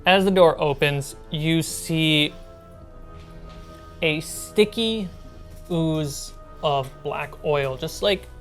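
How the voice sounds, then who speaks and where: A woman with a low voice narrates a tabletop game as game master. She takes her time, pausing through each suspenseful description. Soft music plays underneath. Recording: music bed behind the voice.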